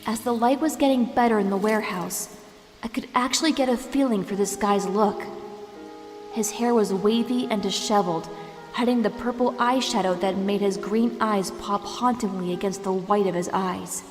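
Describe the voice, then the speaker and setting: A woman's voice: medium pitch, moderate pace, natural voice. A woman playing a feisty young crime-fighting sidekick in a dramatic, sarcastic audio drama style. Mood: wry, narrating. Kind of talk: audio drama acting with first-person narration. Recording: echoey long reverb, music underneath.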